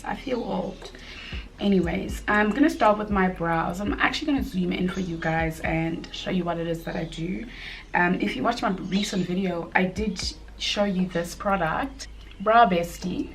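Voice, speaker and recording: A woman around thirty with a slightly husky voice, chatting casually at home while doing her makeup, rambling with frequent ums and likes. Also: medium pitch, slight background noise. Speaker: beauty vlogger and mother.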